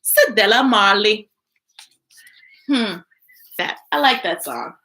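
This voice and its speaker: A woman's voice: mid-range voice, expressive, slow, bright, forceful tone. An American woman reading children's books on a live stream, singing the text with playful scat sounds and chatting between stories. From United States. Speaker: children's book reader.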